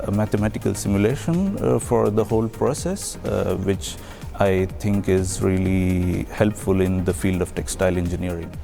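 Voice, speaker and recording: A man from India, a doctoral researcher, giving recorded interview answers in a measured way with many pauses and fillers. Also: lowish voice, creaky, warm tone. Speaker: PhD researcher.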